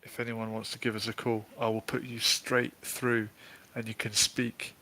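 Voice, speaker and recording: A man's voice: mid-range voice, level tone, normal speed, sharp tone, low-quality recording. A man hosting a casual live stream over a video call, with jokey, wry asides. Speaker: host.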